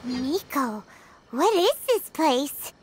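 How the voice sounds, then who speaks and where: A woman voicing a tiny, childlike female fairy companion in a video game, timid, whiny and excitable. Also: sits high, very slow, a little hiss or hum, full character voice. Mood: uneasy. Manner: refers to herself in third person, whiny, excitable. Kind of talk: video game character acting. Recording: studio voice under clear game music.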